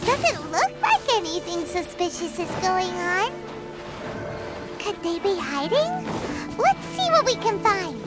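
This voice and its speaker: Tiny cheeky game fairy girl, super high squeaky kid voice, swoops all over, loud music behind. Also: very animated, measured pace, heavily acted. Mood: curious. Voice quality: squeaky, childlike. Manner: refers to self in third person, teasing. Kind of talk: English video game voice acting.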